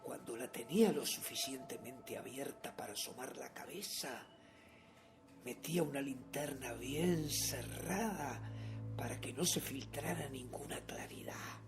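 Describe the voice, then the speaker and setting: An actor playing a nervous, obsessive madman who insists he is sane, in a theatrical, halting radio drama monologue that swings between whispers and outbursts. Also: high-pitched, big pitch swings, gravelly, music in the background, exaggerated voice. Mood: hushed, furtive.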